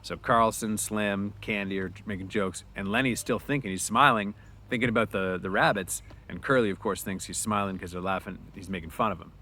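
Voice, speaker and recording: A man's voice: lowish voice, steady tone, fairly quick, sharp tone. A man narrating a story aloud in an informal way, with casual asides.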